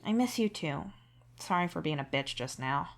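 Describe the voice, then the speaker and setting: A woman's voice: sits low, animated, moderate pace, vocal fry. A woman voicing a sleep-deprived young man, stubborn and devoted, with a tired, reassuring manner. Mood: apologetic.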